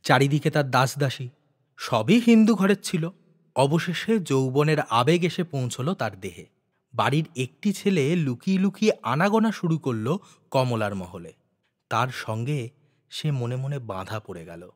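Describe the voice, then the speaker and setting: A man's voice: mid-range voice, animated, a little slow. A man reading a literary story aloud as a dramatic audiobook narrator.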